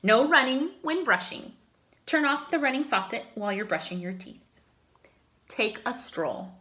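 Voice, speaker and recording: A woman reading a children's picture book aloud like a teacher, with expressive, emphatic phrasing. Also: fairly high pitch, measured pace. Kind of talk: children's story read-aloud.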